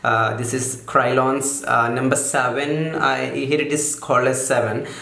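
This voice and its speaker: A man calmly walking through makeup brushes in a casual vlog, softening his voice on purpose and often stopping to correct himself with fillers. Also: medium pitch, vocal fry, reverberant, a little hiss or hum. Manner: halting, many fillers and self-corrections; deliberately softened, soothing delivery. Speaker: makeup vlogger. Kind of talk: casual product walkthrough.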